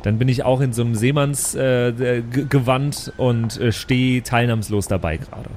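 A man with a middle-pitched voice improvises as a player in a role-play. He sounds dry and a bit hesitant, with faint noise in the background. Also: fairly even intonation, normal speed, a little mellow.

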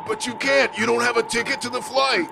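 Creaky, high-pitched panicky cursed businessman. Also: fairly even intonation, brisk, heavy background noise, heavily acted. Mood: bewildered. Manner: flustered, whiny, earnest. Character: panicky, naive, whining businessman turned cursed monster. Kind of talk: comedy horror radio play acting.

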